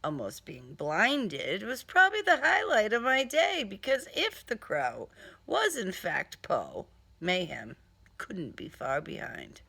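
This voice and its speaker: A woman narrates a crime novel in the first person with theatrical flair. Her delivery is slow and deliberate, her pitch swinging widely, with a sardonic edge to the phrasing. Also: wildly swooping pitch, creaky, sharp tone, slightly rough. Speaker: author reading own crime novel.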